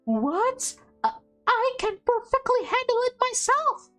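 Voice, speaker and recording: A woman voicing a hot-tempered, defiant teenage girl in a visual novel, with shrill, indignant outbursts. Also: expressive, unhurried, fairly bright tone, faint music behind, exaggerated voice.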